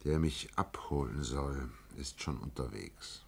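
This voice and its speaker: Very deep, husky, sardonic inventor. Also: male voice, measured pace, full character voice. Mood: wry, resigned. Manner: laconic, ironic, understated. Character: weary, sardonic male inventor, resigned and wry. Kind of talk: German radio play acting.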